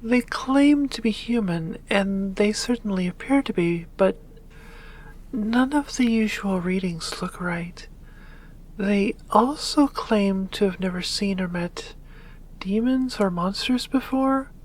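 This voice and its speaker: A woman playing a composed succubus demon doctor in an ASMR roleplay, breathy, measured and dryly teasing. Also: medium pitch. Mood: businesslike.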